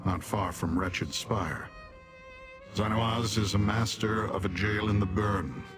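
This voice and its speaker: An actor playing a cold, haughty demon warrior in a video game, speaking formally with measured, deadpan condescension. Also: male voice, fairly low pitch. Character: haughty male Dremora demon warrior commander, coldly disdainful of mortals. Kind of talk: video game character dialogue.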